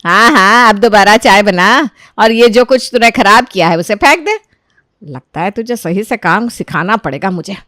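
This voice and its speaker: A woman's voice: medium pitch, animated, normal speed, bright, forceful tone, no room sound, clean studio sound. A woman voicing a domineering, melodramatic middle-aged mother-in-law in a cartoon story, nagging and accusing. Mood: angry, accusing. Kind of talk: cartoon moral-story voice acting.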